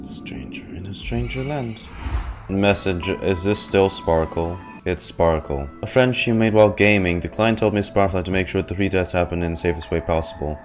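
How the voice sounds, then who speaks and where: A man's voice: low voice, moderate pace. A man streaming a game reaction, reading dialogue aloud and giving his commentary over game music.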